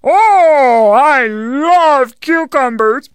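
A huge, greedy giant booms out his threats in a slow, gloating drawl, as a woman storyteller puts him on. The voice sits a little high and drags along very slowly. The recording is close and dry. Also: animated, dry recording, full character voice. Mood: greedy, threatening. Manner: loud, slow, gloating growl. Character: hungry evil male giant, booming and greedy.

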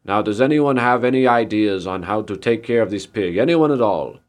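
A man voicing a commanding village head in a children's story, loud and officious, repeating his orders. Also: on the low side, lively intonation, moderate pace, natural voice. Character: blustery, commanding male village Burgermeister.

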